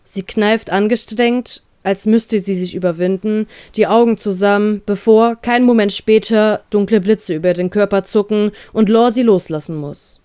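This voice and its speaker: A woman's voice: mid-range voice, fairly even intonation, normal speed, hardly any pauses, warm tone, clean tone, no room sound, clean studio sound. A woman reading a scripted story aloud as its narrator, in a dramatic storytelling style. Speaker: narrator of fan fiction audio story.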